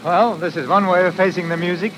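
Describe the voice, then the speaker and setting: An actor playing a courteous, troubled stage actor with hidden menace, in measured 1940s radio drama style. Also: male voice, high-pitched, fairly even intonation, natural voice. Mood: tender, uneasy. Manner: polite, measured, faintly ironic. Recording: noisy, hissing old radio recording.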